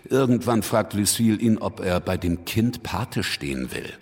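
An actor playing a wry, teasing revolutionary who recounts events conversationally in a radio drama. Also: male voice, on the low side, expressive, normal speed, a little mellow, husky. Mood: wry, amused. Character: wry, worldly, teasing revolutionary man telling anecdotes. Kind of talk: radio drama acting and first-person narration.

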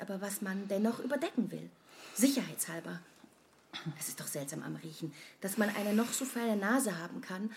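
Anxious, self-doubting woman, medium pitch. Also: gravelly, slight background noise. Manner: insecure, rambling, questioning and self-interrupting. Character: anxious, self-conscious woman fretting over how she smells. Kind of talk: modern radio play acting, conversational monologue.

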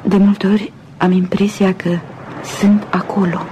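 An actress playing a dreamy, romantic upper-class woman in a Romanian radio drama, speaking softly and musingly with pauses. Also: medium pitch, level tone, a little hiss or hum, close to an everyday voice, rough recording. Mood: yearning, wistful. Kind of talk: Romanian radio theatre acting.

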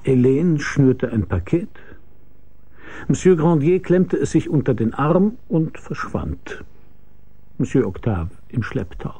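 An actor playing a cynical, world-weary investigator who narrates a radio drama in a dry, laconic, husky voice. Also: male voice, medium pitch, expressive, slow, mellow, slight background noise. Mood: dry narration. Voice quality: gravelly, husky. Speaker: narrator and lead character. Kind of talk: hard-boiled radio drama narration and dialogue.

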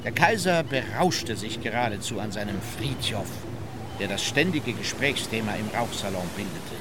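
A man's voice: lowish voice, expressive, normal speed, heavy background noise. A man reading a scripted memoir as a documentary narrator.

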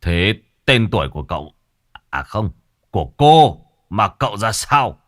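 A man's voice: lowish voice, big pitch swings, measured pace, dry recording, studio quality. A man voicing a flustered older male fortune teller who stammers and fends someone off.

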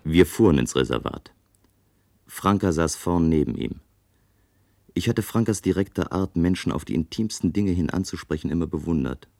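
An actor playing a curious, reserved husband from a future society, narrating and talking politely and reflectively in a science-fiction radio drama. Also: male voice, low voice, expressive, normal speed, gravelly, a little mellow, no echo, studio quality, heavily acted.

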